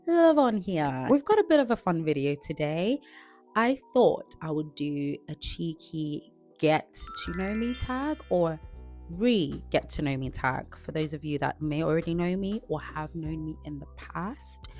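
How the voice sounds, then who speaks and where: Low-voiced young woman chatting to camera. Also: unhurried, faint music behind. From Zimbabwe. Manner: chatty and relaxed, with frequent "like" and restarts. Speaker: vlogger. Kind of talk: casual chat to camera.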